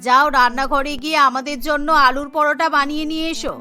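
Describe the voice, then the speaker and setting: A woman's voice: high voice, steady tone, fast talker, bright, forceful tone, soft background music, pitched below the performer's own voice. A woman voicing a bossy, scolding middle-aged mother-in-law in a cartoon, stern and nagging. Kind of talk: animated cartoon story voice acting.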